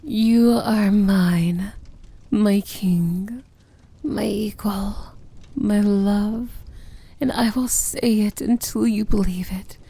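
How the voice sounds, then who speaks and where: A woman acts out a powerful evil princess in a romantic fantasy ASMR roleplay. Her voice is breathy and mellow, often slipping into whispers, with a crackle of fry. She speaks intimately, possessive and commanding yet tender. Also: medium pitch, steady tone, drawn-out words, no echo, full character voice. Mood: adoring, intense.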